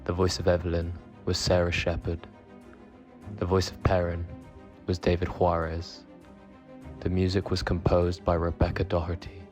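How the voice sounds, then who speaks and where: Deep, very monotone male announcer. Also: moderate pace. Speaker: credits announcer. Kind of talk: scripted credits announcement. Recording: music under the voice. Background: music.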